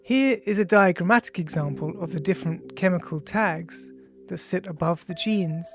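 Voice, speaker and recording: Audiobook author, androgynous mid-pitched voice, measured science reading, clear music bed underneath. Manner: measured, reads punctuation aloud. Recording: music behind the voice. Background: ambient music with bell-like tones.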